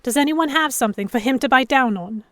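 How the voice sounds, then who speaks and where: A woman narrating in first person as a composed captive in a historical pirate audio drama, with measured, dramatic storytelling. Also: high-pitched, a bit bright. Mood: measured, tense. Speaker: narrator and lead actor.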